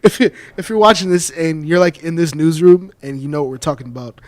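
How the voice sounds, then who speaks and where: A man's voice: fairly high pitch, a little fast, no echo. A young man hosting a casual studio chat, joking and playful, laughing often, on a table mic in a small college studio. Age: young adult. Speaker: host. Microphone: table mic on a stand.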